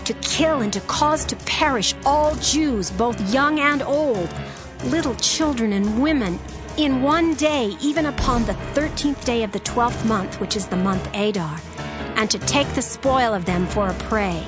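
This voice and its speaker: A woman narrating a dramatized scripture reading in a measured, solemn way. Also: fairly high pitch, over music.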